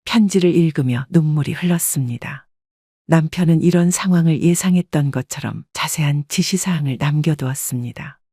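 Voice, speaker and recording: A woman narrating a story in the first person, calm and measured. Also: deep voice, breathy edge, no echo, studio quality.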